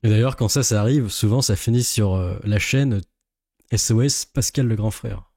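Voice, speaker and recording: A man's voice: on the low side, normal speed, dark tone, slight background noise. A man giving casual, sardonic, complaining live commentary as a streamer. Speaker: streamer, reaction host.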